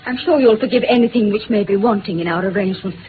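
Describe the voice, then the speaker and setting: A woman's voice: medium pitch, fast, close to an everyday voice. A woman playing a composed, direct, genteel wife under strain in a 1950s radio drama, speaking formally. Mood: gracious, strained. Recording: tinny, faintly noisy old radio recording.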